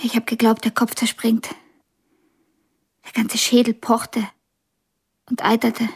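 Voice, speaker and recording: An actress in a radio drama playing a blind young pianist, wounded yet ambitious and frank, with a halting, intense delivery. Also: female voice, on the high side, monotone, creaky, breathy edge, exaggerated voice. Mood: pained recollection.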